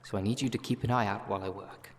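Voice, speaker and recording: A man's voice: on the low side, steady tone, very fast, lightly acted. A man acting as a wry, world-weary city witch in an ASMR audio roleplay, talking in a conversational, sardonic way. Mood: defensive, focused. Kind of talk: ASMR audio roleplay, one-sided dialogue. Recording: long reverb, noisy background.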